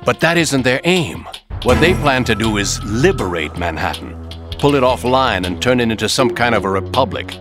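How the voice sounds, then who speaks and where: Man acting captive military colonel, urgent, knowing, terse, middle pitch, video game cutscene, music behind. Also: expressive, normal speed, lightly acted. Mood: impatient, explanatory. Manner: terse, impatient, explanatory.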